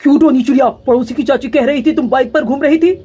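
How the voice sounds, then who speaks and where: A woman's voice: high voice, fast talker, warm tone, faint music behind, lightly acted. A woman voicing a stern, controlling father bird in a cartoon, scolding and domineering.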